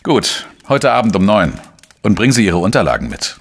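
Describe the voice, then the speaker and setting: A man playing a dogged, wry investigator in a crime radio play, speaking and narrating in a deliberate, reflective way. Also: fairly low pitch, sing-song, breathy edge, exaggerated voice. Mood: insistent, wry. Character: dogged, wry male journalist-sleuth narrating his own case. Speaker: lead actor and first-person narrator. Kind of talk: German crime audio drama, narration and dialogue.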